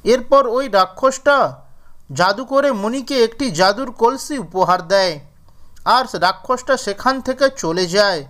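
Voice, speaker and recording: A man's voice: sits high, a bit bright. A man narrating a scripted cartoon fairy tale as the storyteller.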